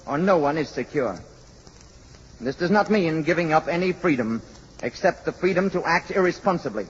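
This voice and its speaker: An actor playing a grave, commanding alien emissary who speaks in a measured, formal way in a 1950s radio drama. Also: male voice, on the high side, slightly rough. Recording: muffled, noisy vintage radio recording.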